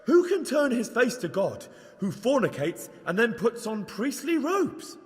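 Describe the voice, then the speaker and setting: Earnest, high-voiced amateur young preacher. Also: male voice, big pitch swings, full character voice. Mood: indignant, flustered. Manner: declamatory but halting, stammering when flustered. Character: earnest, unsure young layman preaching against corruption. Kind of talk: video game acting, improvised sermon. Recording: reverberant church hall.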